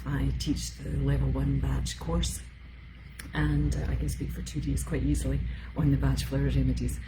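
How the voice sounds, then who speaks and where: Low-voiced Scottish woman in her fifties. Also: flat delivery, moderate pace, music in the background, rough recording. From Scotland. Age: 50s. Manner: chatty, rambling with many fillers. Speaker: emotional support specialist. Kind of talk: live-streamed talk.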